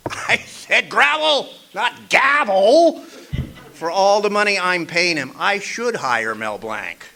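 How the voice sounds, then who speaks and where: An actor playing a sardonic, hardboiled private eye narrating a radio detective parody. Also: male voice, high-pitched, expressive, slow pace, vocal fry, noisy background, full character voice. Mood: exasperated.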